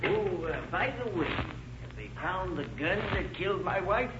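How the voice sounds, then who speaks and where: Grieving husband: apologetic, nervous, too earnest, muffled vintage radio drama sound. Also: male voice, high-pitched, measured pace, noisy background. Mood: over-earnest. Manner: hesitant, over-earnest. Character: nervous, falsely apologetic male grieving husband, secretly guilty. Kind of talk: old-time radio crime drama acting.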